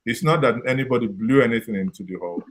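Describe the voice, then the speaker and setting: A man's voice: medium pitch, quick pace. A man in an online discussion who cuts in firmly to correct another speaker and insists on being heard. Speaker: forum participant.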